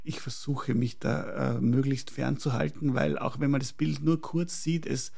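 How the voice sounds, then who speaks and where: This is a man with a very creaky, husky voice. He sits at an ordinary male pitch and talks reflectively as an interview guest. He chooses his words deliberately, often pausing to search for the next one. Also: flat delivery, darkish tone. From Prague, Czechoslovakia, later schooled in Austria.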